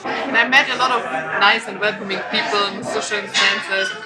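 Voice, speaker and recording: High voice in an echoey room. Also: male voice, steady tone, moderate pace, over a noisy crowd. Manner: fluent, listing impressions. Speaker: festival participant. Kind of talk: festival interview. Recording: echoey room with loud music.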